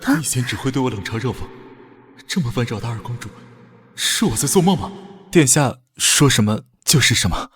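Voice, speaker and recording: A man voicing an earnest, wounded young consort in an animated drama, formal and full of doubt. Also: medium pitch, a little fast, slightly rough, no echo, studio quality, soft background music. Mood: wistful doubt. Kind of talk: animated short-drama dubbing.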